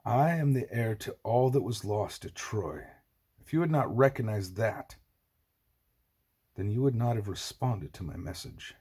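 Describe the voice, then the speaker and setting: Man as a cool, proud, husky exiled heir. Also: fairly low pitch, lively intonation, measured pace, dark tone. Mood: cool, resolute. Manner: controlled, firm, declarative.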